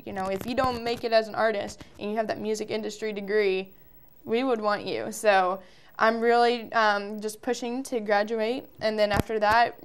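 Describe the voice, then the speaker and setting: A woman's voice: mid-range voice, slight background noise. A woman in her early twenties with an American accent, giving chatty, informal answers as a musician guest in an interview. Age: early 20s.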